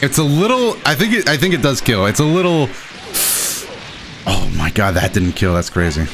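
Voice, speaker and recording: A young adult man giving excitable, self-deprecating live commentary over his own gameplay as the host. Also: medium pitch, normal speed, background noise. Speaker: gaming video host.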